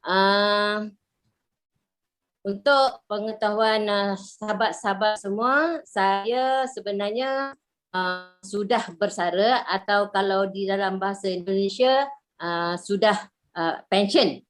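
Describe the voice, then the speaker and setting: A retired woman in her sixties from Malaysia with a Malaysian Malay accent, introducing herself on a video call in a halting way, full of fillers. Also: mid-range voice. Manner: slow, halting, many fillers. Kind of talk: online group video call.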